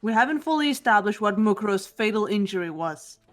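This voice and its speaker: A woman voicing a calm, analytical teenage girl detective in a fan-voiced game. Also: medium pitch, soft background music, natural voice. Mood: composed. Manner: calm, measured.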